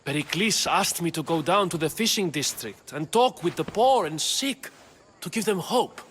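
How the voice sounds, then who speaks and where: An actor playing an earnest, easily flustered politician of ancient Athens in a video game. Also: male voice, a little high, expressive, normal speed, fairly bright tone, a little hiss or hum. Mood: earnest, worried. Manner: earnest, indignant. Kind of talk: video game dialogue acting.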